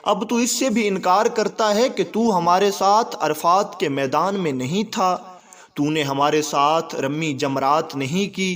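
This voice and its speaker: A man's voice: high-pitched, measured pace, fairly bright tone. A man voicing an insistent, puzzled male pilgrim from a caravan in a story, speaking formally.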